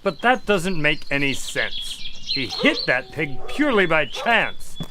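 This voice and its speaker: An actor playing a polite, questioning doctor who is a detective's skeptical sidekick in an audio drama. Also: male voice, a little high, very animated, a little slow, background noise. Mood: puzzled, curious. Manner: courteous, questioning.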